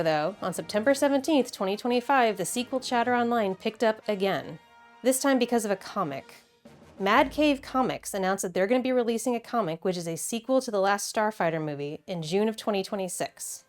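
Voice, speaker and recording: A woman hosting a video with casual, informal commentary, expressive and wryly opinionated. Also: medium pitch. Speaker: video host. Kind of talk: casual video commentary with game play reactions. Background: background music.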